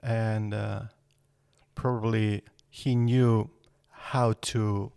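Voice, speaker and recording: A man's voice: lowish voice, little pitch movement, very slow, vocal fry, darkish tone, smooth, dry recording, clean studio sound. A man, answering podcast interview questions hesitantly with many fillers. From Serbia. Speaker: guest architect.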